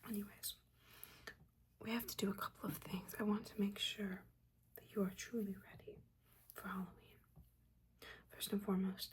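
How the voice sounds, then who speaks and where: A woman doing a soft-spoken, breathy, mostly whispered ASMR roleplay as a stern, disappointed witch mentor, with a halting, scolding manner. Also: mid-range voice, fairly even intonation. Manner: scolding, halting, dryly exasperated. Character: stern, disappointed witch mentor, nagging but secretly caring. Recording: audible background ambience noise.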